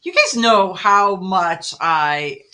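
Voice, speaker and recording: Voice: medium pitch, sing-song, slow, sharp tone. A radio show host chatting casually and conversationally on a talk program.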